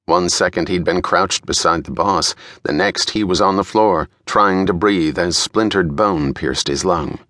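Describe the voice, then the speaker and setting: A man's voice: deep voice, unhurried, few pauses, fairly bright tone, no room sound, clean studio sound. A man reading a thriller audiobook as narrator in a dramatic, scripted storytelling style.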